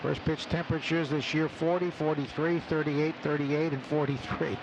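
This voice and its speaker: A man's voice: on the high side, fairly even intonation, moderate pace. A man doing live play-by-play baseball commentary as a radio announcer. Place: open broadcast booth at outdoor ballpark. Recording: noisy outdoor ballpark radio broadcast. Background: loud stadium ambience.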